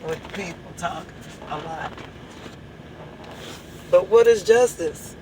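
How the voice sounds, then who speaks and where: A hoarse woman with a drawl leads her church in an impassioned address. She hammers at the same rhetorical questions again and again, pressing each point home. A faint background hum sits under her voice. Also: on the low side, slow pace. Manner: emphatic, repeats rhetorical questions. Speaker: church leader.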